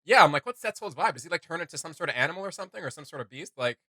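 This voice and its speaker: Male reaction host: slangy, enthusiastic, slightly high bright voice, casual chat. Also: animated, very fast, no room sound, clean studio sound.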